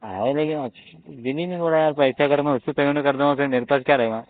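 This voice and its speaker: A man's voice: mid-range voice, quick pace. An Indian man on a casual phone conversation as a loan customer, evasive, stubborn and nonchalant in his answers. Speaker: loan customer on a collection call. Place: on a phone line. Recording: tinny, narrowband phone call recording.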